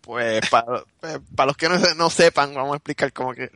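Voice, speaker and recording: A man's voice: on the high side, a little fast, pushed and bright, no echo. A man with a Puerto Rican Spanish accent co-hosting a podcast in casual, slangy, joking banter. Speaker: podcast co-host.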